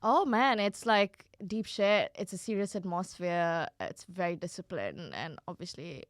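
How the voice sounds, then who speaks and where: Comedy guest, young woman, low voice, giggly and foul-mouthed, dry close sound. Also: animated, unhurried, no room sound. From Kuwait. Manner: laughing, self-deprecating, swearing freely. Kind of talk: casual podcast chat.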